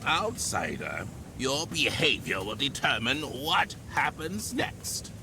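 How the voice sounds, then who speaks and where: An actor playing a haughty, condescending official in a fantasy game, speaking formally and with measured authority. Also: male voice, mid-range voice, sing-song, noisy background. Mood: solemn warning. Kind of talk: dramatic game cutscene acting.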